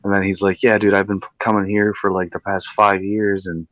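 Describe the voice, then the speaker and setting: Deep-voiced man in his thirties, stammering. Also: flat delivery, a little fast, a bit bright, no room sound, lo-fi sound. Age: 30s. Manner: stammering, restarts a lot, laid-back. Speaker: livestream co-host. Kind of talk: casual chat.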